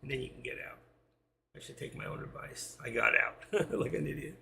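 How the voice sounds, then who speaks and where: A man hosting a live trading stream, talking to viewers while he watches the charts, with quiet asides between his sign-offs. He is losing his voice. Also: medium pitch, brisk, vocal fry, slight background noise. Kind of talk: live market commentary to viewers.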